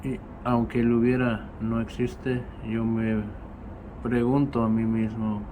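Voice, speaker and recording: A man's voice: medium pitch, little pitch movement, unhurried. A man reading his own poem aloud, as a poet at a reading. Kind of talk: spoken poetry reading. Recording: clear air conditioner or traffic hum.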